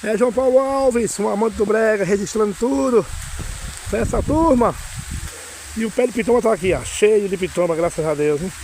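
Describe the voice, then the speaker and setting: A hoarse man, excitedly exclaiming as he films his farm outdoors in the rain on a phone. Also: sits high, moderate pace, slight background noise. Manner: excited, exclaiming and singing along to music. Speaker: vlogger filming his farm. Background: music playing.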